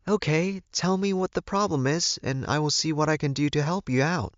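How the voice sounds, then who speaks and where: Man voicing a calm, firm werewolf mother, raised pitch. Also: no echo, dull audio, lightly acted. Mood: calm, attentive. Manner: measured, advising, firm. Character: werewolf mother, older woman, calm, firm, counseling her son. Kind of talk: audiobook character dialogue.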